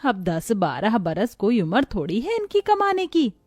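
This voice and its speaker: Mellow woman narrating a sad story. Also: a little high, huge pitch swings, a little slow, no room sound, studio quality. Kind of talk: scripted dramatic cartoon story narration.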